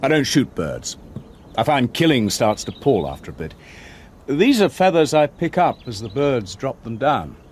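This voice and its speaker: A man's voice: medium pitch, sing-song, normal speed, slight background noise, lightly acted. An actor playing a sardonic Englishman in a radio drama, dry and mocking. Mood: teasing. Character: sardonic Englishman, the estate agent.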